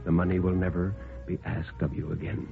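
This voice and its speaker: A man playing a quiet, respectful and secretive messenger, speaking in measured, evasive phrases in a 1950s radio horror play. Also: deep voice, little pitch movement, vocal fry, voice pushed down. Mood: measured, insistent. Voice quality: quiet, hushed. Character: quiet, secretive, clerkish male messenger with unsettling reserve. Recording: tinny, narrow-band 1950s radio recording. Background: dramatic background music.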